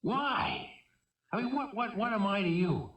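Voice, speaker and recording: An actor playing a wounded fugitive gunman in a 1970s radio drama, jittery, bitter and wistful, speaking in halting, agitated bursts. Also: high-pitched, expressive, fairly bright tone. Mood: agitated, confused. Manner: halting, agitated, repeating himself. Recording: dull, echoey vintage radio recording, distant mic.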